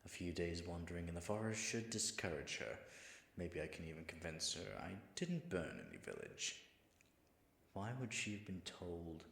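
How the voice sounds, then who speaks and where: Man playing a flustered, low-voiced disguised dragon. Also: big pitch swings, normal speed, vocal fry, a bit bright, slightly rough, full character voice. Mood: musing, wary. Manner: nervous, stammering, muttering asides to himself. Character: flustered male dragon disguised as a human guide. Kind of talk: audio roleplay drama acting.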